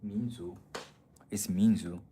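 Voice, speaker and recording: Blunt, low-voiced man streaming live. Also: fairly even intonation, slow pace, dark tone, slightly rough. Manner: blunt, profane, argumentative with chat. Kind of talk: casual live-stream chat, answering viewer comments. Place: city streets outdoors.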